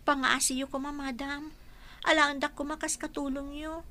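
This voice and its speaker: A woman's voice: high-pitched, level tone, normal speed, bright, forceful tone. A woman acting an eager, humble, easily flustered young housemaid in an Ilocano radio comedy drama.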